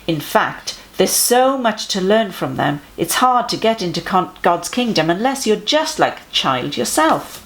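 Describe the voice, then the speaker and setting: A woman voicing a friendly, earnest male teacher in a children's storybook, emphatic and gently instructive. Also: mid-range voice, very animated, measured pace, hardly any pauses. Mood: warm insistence.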